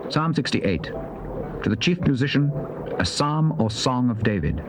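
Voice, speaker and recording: A man's voice: mid-range voice, big pitch swings, a little slow. A man making formal scripted announcements of titles in a scripture recording. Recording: noisy cassette recording.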